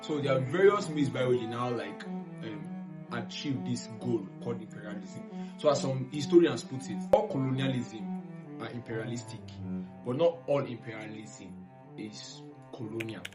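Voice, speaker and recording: A man's voice: medium pitch, moderate pace. A man with a Nigerian accent giving casual educational explanations as a panelist. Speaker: panelist on a history discussion series. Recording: music playing behind the voice.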